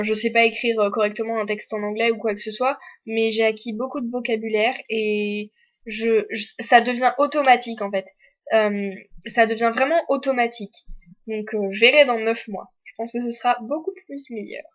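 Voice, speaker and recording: A woman's voice: on the high side, fairly even intonation, normal speed, lo-fi sound. A teenage girl from France, an exchange student, chatting candidly to the camera with many fillers. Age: teens. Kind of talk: casual chat to camera.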